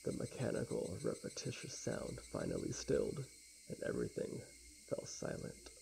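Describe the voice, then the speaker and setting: Young male let's-player, very creaky quiet voice, laid-back murmur with lively pitch swings, noisy room recording. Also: normal speed. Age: young adult. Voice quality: gravelly, quiet, often breathy. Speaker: let's play streamer. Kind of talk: casual gameplay chat and soft reading aloud of game text. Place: small indoor room with blinds.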